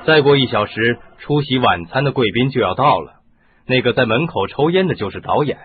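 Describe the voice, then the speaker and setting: A man's voice: mid-range voice, lively intonation, brisk, over music, lightly acted. An actor playing a firm, skeptical male police inspector in a radio drama. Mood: businesslike. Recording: muffled phone-like radio recording.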